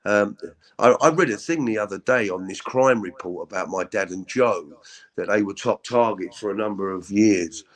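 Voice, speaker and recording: A middle-aged man with a deep voice, telling his story as an interview guest in a halting, candid way with many repeats. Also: a bit bright. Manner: halting, repeats words, frequent ums.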